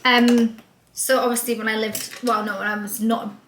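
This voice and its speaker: A woman's voice: fairly high pitch, little pitch movement, normal speed, fairly bright tone, reverberant, slight background noise. A young woman from Scotland with an English accent, talking through a casual vlog with lots of likes and ums. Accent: English with a light Scottish trace. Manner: bubbly, rambling, with lots of "like" and "um". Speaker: vlogger. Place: small room indoors. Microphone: camera facing her from a short distance.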